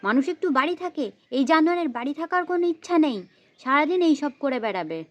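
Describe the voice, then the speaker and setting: A woman's voice: sits high, animated, fast talker, dry recording, highs cut off. A woman playing an exasperated mother who scolds her naughty little girl in a repetitive, threatening way, in an amateur children's story. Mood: exasperated, complaining.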